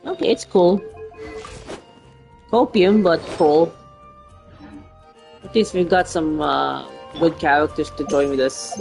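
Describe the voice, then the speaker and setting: A man's voice: on the high side, slow pace, gravelly, long pauses, a little mellow. Rambling male game streamer. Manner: rambling, hesitant, swears casually. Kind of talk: casual gameplay commentary. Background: faint game music.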